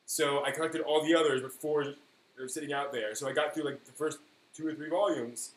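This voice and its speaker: A man hosting a live stream in casual, rambling chat, thinking aloud haltingly and leaning on key words. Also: on the high side, fairly even intonation. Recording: echoey room with faint noise.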